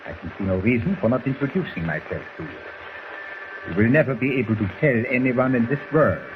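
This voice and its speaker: An actor playing a menacing, coldly polite German doctor villain in a formal, threatening manner in a 1940s radio drama. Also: male voice, mid-range voice, natural voice. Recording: muffled, noisy old 1940s radio recording.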